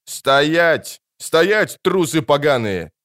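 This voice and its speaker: A man's voice: fairly high pitch, sing-song, extremely slow, fairly bright tone, pitched above the performer's own voice, heavily acted. A man voicing a fierce army officer in an audiobook, roaring and barking orders to rally soldiers.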